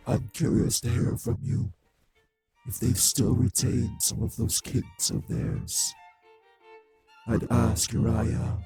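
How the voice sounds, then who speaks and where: A man playing a cruel, taunting male vampire in an audio drama, with a husky, gravelly voice and a slow, mockingly polite manner. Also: medium pitch, mellow, exaggerated voice. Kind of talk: scripted audio roleplay drama.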